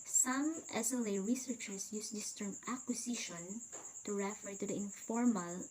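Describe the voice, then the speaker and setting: A woman teacher with a Filipino accent and Cebuano as her first language, reading points from slides in a recorded video lecture, slow and deliberate. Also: medium pitch, warm tone, slight background noise, low-quality recording. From Philippines.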